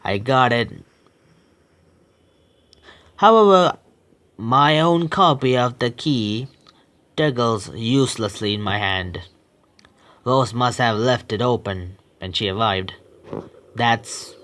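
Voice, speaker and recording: A young man reading a horror visual novel aloud, stumbling and correcting himself with quick apologies and reactions. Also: medium pitch, lively intonation, fairly bright tone.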